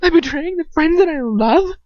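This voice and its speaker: Woman doing a defiant young student crying out in agony, high shouting, dry dull audio. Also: animated, moderate pace, dry recording. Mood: anguished shouting. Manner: high, shouting through tears. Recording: dull lo-fi recording.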